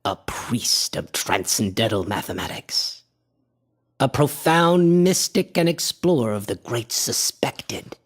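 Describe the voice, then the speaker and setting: Radio horror role: male actor as a grandiose, obsessed scholar-visionary, husky and theatrical, with a widely swinging, declamatory delivery. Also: mid-range voice, very animated, slow. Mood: scornful, lofty. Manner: impassioned, declamatory, pompous.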